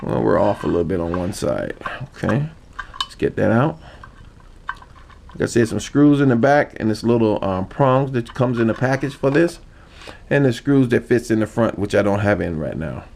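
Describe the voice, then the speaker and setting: A man giving an informal how-to demonstration, explaining step by step in a halting manner. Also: fairly low pitch, animated, vocal fry, a little mellow, slight background noise. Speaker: hobbyist demonstrator. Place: indoor room.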